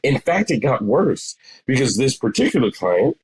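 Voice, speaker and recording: A man's voice: medium pitch, very animated, moderate pace, a little mellow, breathy edge, low-quality recording. A man in his forties explaining things conversationally as an interview guest, emphatic and repeating phrases for stress. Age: 40s. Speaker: psychotherapist, podcast guest.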